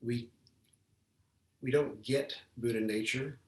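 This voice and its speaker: Slow, halting man in an echoey room. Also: medium pitch, steady tone. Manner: halting, deliberate delivery with long pauses. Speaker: Zen Buddhist teacher giving a talk. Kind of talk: measured dharma talk. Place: indoor room, talk given over video call. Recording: roomy, echoey, distant microphone on video call.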